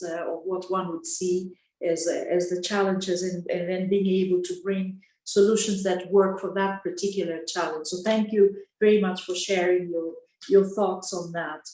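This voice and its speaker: A woman moderating a discussion, polite and measured, with some uh fillers. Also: medium pitch, a little mellow. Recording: echoey room.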